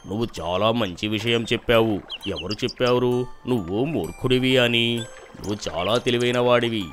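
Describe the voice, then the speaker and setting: A man voicing an authoritative male lion king in an animated fable. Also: medium pitch, moderate pace, faint music behind, natural voice. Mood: reassuring.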